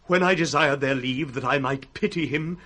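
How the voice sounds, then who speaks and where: A man's voice: a little high, normal speed, pushed and bright. An actor playing an anxious, loyal old nobleman with an earnest, conspiratorial British delivery in a radio play. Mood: distressed, indignant. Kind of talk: 1960s British radio Shakespeare acting. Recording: faintly noisy 1960s radio recording.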